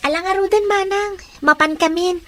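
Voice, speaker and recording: A woman's voice: very high voice, moderate pace, pushed and bright. An actress playing an earnest, polite young woman in a radio drama, with faint music behind.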